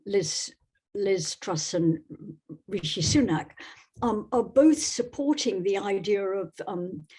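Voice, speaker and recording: Husky, slow-spoken woman from Scotland. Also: mid-range voice, a little mellow. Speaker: guest novelist. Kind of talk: conversational interview answers.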